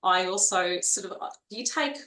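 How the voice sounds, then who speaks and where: A woman's voice: medium pitch, moderate pace, pushed and bright, roomy sound. A woman in her forties with a strong Australian accent, a nurse chatting as an interview guest, talking freely with many fillers and restarts. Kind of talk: casual interview conversation.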